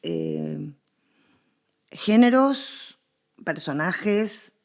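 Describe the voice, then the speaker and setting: A woman's voice: medium pitch, wildly swooping pitch, very slow, vocal fry. A woman with an Argentine accent explaining her subject in a conversational interview, stressing points with repetition and examples. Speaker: interviewed researcher of radio history.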